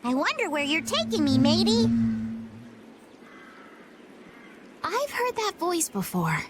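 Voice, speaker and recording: A woman's voice: very high voice, wildly swooping pitch, moderate pace, a little hiss or hum, exaggerated voice. A woman voicing a plucky, chirpy little girl pirate in a video game, with cheery nautical talk. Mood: curious, playful. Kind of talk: video game dub acting.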